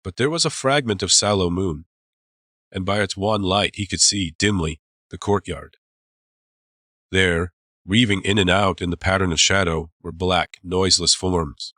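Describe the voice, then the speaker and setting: A man narrating a dramatized audiobook as a storyteller, measured and suspenseful. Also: low-pitched, fairly bright tone, husky, dry recording, studio quality.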